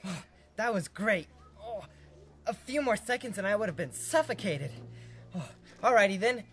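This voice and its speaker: A woman's voice: mid-range voice, animated, moderate pace, a bit bright, natural voice. Woman playing sheepish, hesitant store worker guy. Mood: dismissive, relieved. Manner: hesitant, nervously polite. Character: sheepish, evasive male store worker Bernie. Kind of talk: audio drama acting.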